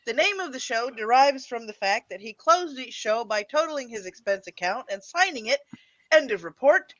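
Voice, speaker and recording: Animated woman host with a dull, lo-fi sound. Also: on the high side, normal speed, no echo. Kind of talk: introducing old radio shows and joking along.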